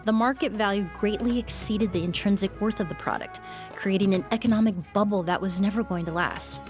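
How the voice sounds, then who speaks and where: Woman voicing a calm, precise perfumer. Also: mid-range voice, lightly acted. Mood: composed. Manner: composed, measured, explanatory. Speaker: perfumer with medical knowledge. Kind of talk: video game dialogue. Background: faint game music.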